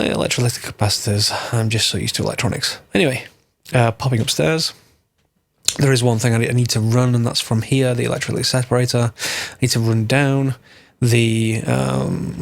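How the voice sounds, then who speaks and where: A man, slightly hoarse from a cold, giving a casual game tutorial and thinking aloud as he corrects himself. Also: mid-range voice, no echo.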